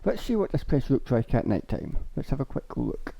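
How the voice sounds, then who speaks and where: Hoarse, gravelly young man streaming a game. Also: medium pitch, huge pitch swings, moderate pace, dark tone. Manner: rambling, chatty, laughs a lot, says basically often. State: sore throat, hoarse. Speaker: gaming streamer. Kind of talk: casual Minecraft house tour. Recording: dull lo-fi stream audio.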